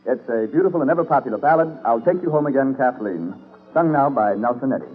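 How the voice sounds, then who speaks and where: A man's voice: medium pitch, animated, fast talker, husky. A man working as a radio announcer, speaking in scripted 1940s broadcast style. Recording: tinny 1940s radio broadcast, music behind.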